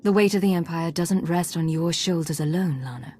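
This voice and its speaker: A woman's voice: fairly low pitch, a little fast, warm tone, breathy edge, faint music behind. A woman playing a steadfast, principled agent commander in a sci-fi game, controlled and serious. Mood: calm support. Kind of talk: video game cutscene acting.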